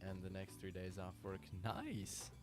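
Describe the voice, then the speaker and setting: Male gamer, deep voice, casual live-stream chatter, music bed behind. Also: unhurried. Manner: relaxed, chatty, talks to viewers by name. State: tired from little sleep. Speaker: game streamer. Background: music playing.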